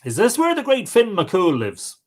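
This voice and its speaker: Guy doing an giant from a storybook, polite but nosy, pitched up and swoopy. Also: wildly swooping pitch, moderate pace, heavily acted. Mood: polite, probing. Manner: courteous, probing. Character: formal, inquisitive male rival giant visiting.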